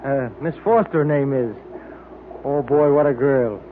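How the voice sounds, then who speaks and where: An actor playing a breezy, plain-spoken detective's sidekick, slangy and informal, in old-time radio drama style. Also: male voice, mid-range voice, a little slow, lightly acted. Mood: cheerful, chummy. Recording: tinny, hissy old-time radio recording.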